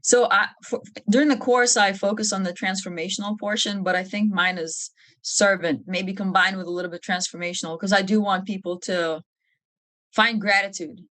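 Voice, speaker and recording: A woman's voice: medium pitch, steady tone, normal speed, fairly bright tone, dry recording. A woman from Lithuania speaking frankly and informally as an interview guest, with a touch of humor. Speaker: podcast guest, army officer. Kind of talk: candid conversational interview.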